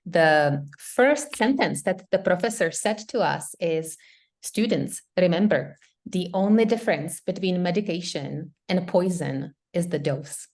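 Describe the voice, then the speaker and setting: A middle-aged woman with a Czech accent speaks in a slightly low register. She explains things earnestly as a guest doctor in an interview and laughs easily. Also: animated, a little slow, darkish tone. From Czech Republic. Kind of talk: interview conversation.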